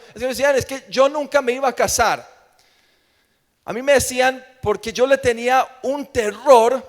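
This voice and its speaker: A man with a Colombian accent delivers a church sermon in a strikingly high-pitched voice. He is emphatic and leans on repeated phrases. His words ring out with the long reverb of a hall. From Colombia, grew up in Medellín. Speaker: preacher. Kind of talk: evangelical sermon with personal testimony.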